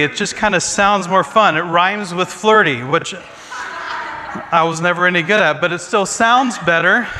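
Bright-voiced pastor in his forties. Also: on the high side, slight background noise. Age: 40s. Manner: self-deprecating joking, chatty asides. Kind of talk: humorous sermon talk. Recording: long reverb in a large hall.

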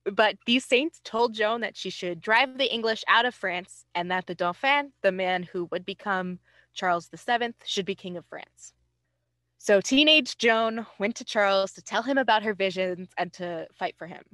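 A woman podcast host with a medium-pitched voice, recorded dry and close. She tells history like casual conversation, informal and self-deprecating, slipping in wry asides. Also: normal speed, a bit bright, no room sound.

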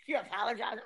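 A woman's voice: on the high side, gravelly, a bit bright, breathy edge. Laughing middle-aged Philadelphia woman. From Philadelphia, USA. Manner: laughs often, restarts and stumbles. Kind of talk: casual chat.

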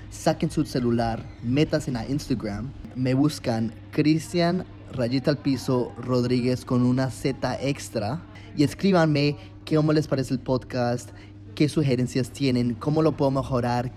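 A man hosting a casual podcast interview, talking in an upbeat, chatty way and agreeing quickly with his guest. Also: medium pitch, warm tone. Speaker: podcast host.

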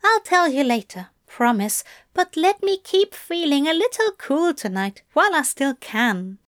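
A woman voicing a lanky male demon who speaks softly and earnestly, coaxing and teasing his partner. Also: sits high, big pitch swings, unhurried, no echo, studio quality. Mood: tender teasing. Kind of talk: audiobook fan fiction reading.

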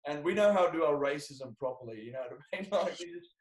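A man's voice: on the high side, expressive, quick pace, a little hiss or hum. An Australian man hosting a casual podcast chat, with a blunt, matey, sweary way of talking. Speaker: podcast host and comedian. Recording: echoey room sound.

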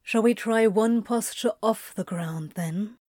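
Mellow, unhurried male yoga teacher played by a woman. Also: medium pitch, dry recording, clean studio sound. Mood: teasing, encouraging. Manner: gentle, reassuring, playful. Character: easygoing, teasing, encouraging male yoga teacher. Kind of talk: audio fiction character acting.

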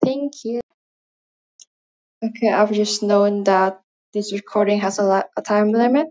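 A woman with an Indonesian accent speaks English as a second language, wandering through her thoughts with plenty of fillers and restarts. Her voice sits at an ordinary middle pitch. The recording sounds dull and lo-fi, like a phone voice memo. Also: fairly even intonation, a little slow, darkish tone. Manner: rambling, hesitant, many fillers. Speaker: listener leaving a voice message. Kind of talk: casual spoken voice message.

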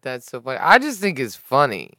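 A woman hosting a casual podcast chat in a studio, talking frankly with a low voice, swearing and laughing easily. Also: wildly swooping pitch, brisk, bright, forceful tone, no echo. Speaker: podcast host. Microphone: studio microphone.

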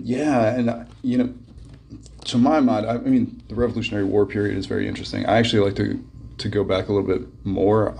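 A man with a cold and a hoarse voice, calling in a casual, hesitant message full of ums and restarts. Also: fairly low pitch, a little mellow, a little hiss or hum. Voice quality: gravelly and husky. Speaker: caller sending in a contest entry.

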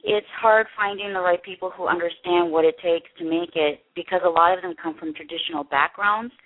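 A woman guest in an interview, speaking earnestly and haltingly with many restarts. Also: lowish voice. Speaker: interview guest, production company head. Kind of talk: candid personal interview. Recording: tinny phone line.

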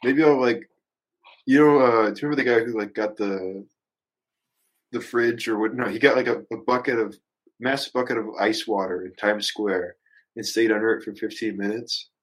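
A man chatting casually as a musician guest on a podcast, thinking out loud in a rambling, hesitant, reflective way and swearing casually. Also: on the low side, fairly even intonation, moderate pace, low-quality recording.

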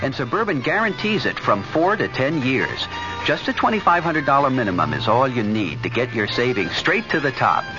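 Casting: male announcer for a local radio spot, lively mid-range read, dull vintage sound over music. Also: mid-range voice, moderate pace. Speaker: commercial announcer. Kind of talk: scripted 1970s local radio ad. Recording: dull 1970s radio recording, music behind.

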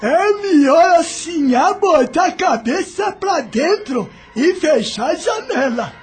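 An actor playing a loyal enslaved coach driver in a radio drama, humble and pleading. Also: male voice, extremely high pitch, animated, takes it slowly, faint music behind, exaggerated voice. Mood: worried.

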